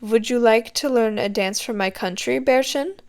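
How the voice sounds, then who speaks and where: A woman voicing a gentle German man, a doting music teacher and babysitter, who speaks in a soft coaxing way with German words mixed in. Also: on the high side, moderate pace, natural voice. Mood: playful, inviting.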